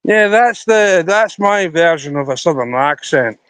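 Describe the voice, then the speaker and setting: A man's voice: on the high side, expressive, unhurried, background noise. A Scottish man chatting to viewers on a casual live stream outdoors by a river, rambling as he talks into his phone. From Scotland. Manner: rambling, chatty, talking to viewers while walking.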